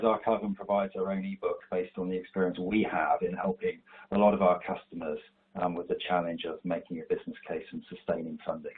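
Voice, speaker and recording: Brief: deep-voiced man presenting slides, husky and congested from a cold, steady and explanatory, heard over a tinny call line. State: stuffy from a cold. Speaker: webinar presenter. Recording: tinny online call audio.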